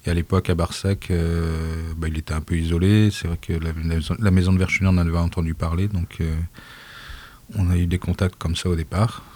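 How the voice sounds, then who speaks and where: A man who volunteers with a migrant aid group, speaking softly and haltingly in a casual radio interview, with many fillers. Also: low-pitched, level tone. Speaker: association volunteer.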